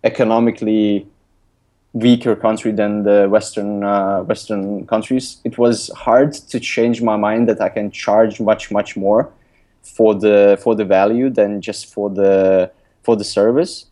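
Young lithuanian guy, not his first language, lots of uhs, kinda flat low voice, dark tone. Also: measured pace. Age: young adult. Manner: hesitant, many fillers and restarts. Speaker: guest, app designer and author. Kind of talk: casual interview talk. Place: recording remotely from abroad.

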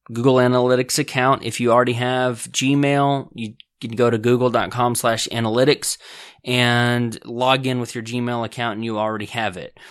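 A man hosting a business podcast, explaining website setup in a casual, conversational way with folksy asides and quick self-corrections. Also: mid-range voice, no room sound, studio quality. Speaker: podcast host and business author. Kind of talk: casual instructional podcast talk.

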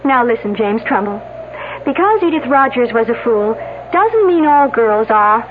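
An actress playing a sharp-tongued, suspicious young woman student with pointed retorts in a 1970s radio drama. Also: fairly high pitch, lively intonation, normal speed, natural voice. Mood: bitter, accusing. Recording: noisy narrow-band vintage radio recording.

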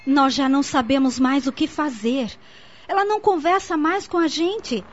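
High-voiced earnest young niece played by an actress. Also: female voice, moderate pace, close to an everyday voice. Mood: worried. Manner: didactic, articulate, earnest. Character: young niece explaining spirits earnestly to her aunt. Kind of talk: radio soap opera acting. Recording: muffled lo-fi audio with faint noise.

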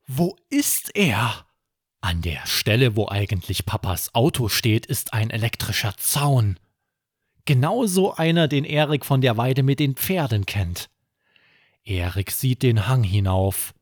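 A man narrating a scripted children's bedtime story as podcast host, with expressive, dramatic delivery. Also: mid-range voice, a little slow, no room sound, clean studio sound.

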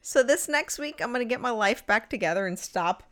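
A woman's voice: fairly high pitch, expressive, a little fast. A woman in her thirties co-hosting a teachers' podcast in casual chat, laughing often. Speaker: podcast co-host.

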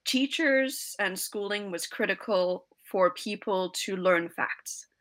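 A woman's voice: medium pitch, slow, a bit bright. A woman teacher from South Africa, a guest answering interview questions earnestly with a lot of "you know". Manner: earnest, explanatory, many "you know" fillers.

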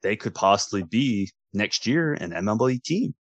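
An American man with a low voice chats casually as a sports podcast co-host. He comes across as agreeable, feeling his way through sentences and repeating words. The recording is dry, without room sound. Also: animated, normal speed, dry recording, lo-fi sound. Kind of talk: casual chat.

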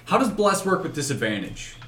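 A man's voice: on the high side, very animated, a little fast, fairly bright tone. A man playing a tabletop game, asking rule questions hesitantly. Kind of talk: casual game-table talk. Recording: echoey room with faint noise.

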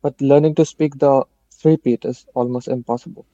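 Dark-voiced man, dull dry recording. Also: mid-range voice, steady tone, moderate pace, no echo. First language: Marathi. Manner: insistent, dry humor. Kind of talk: casual football chat.

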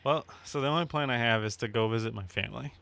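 A man turning thirty, co-hosting a podcast in relaxed, rambling chat full of fillers. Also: medium pitch, fast talker, vocal fry. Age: 30s. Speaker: podcast co-host. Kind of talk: casual chat.

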